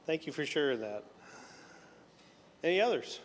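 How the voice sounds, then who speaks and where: A male pastor gently inviting the congregation to share their stories. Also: on the high side, sing-song, moderate pace, big-room sound. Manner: gentle, encouraging prompts. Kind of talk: leading a church group sharing.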